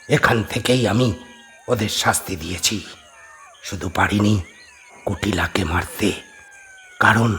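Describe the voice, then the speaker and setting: A man acts the vengeful ghost of a stern holy man in a horror audio drama. His low voice is solemn and emphatic, built for command. Slight noise lies under the recording. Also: fairly bright tone.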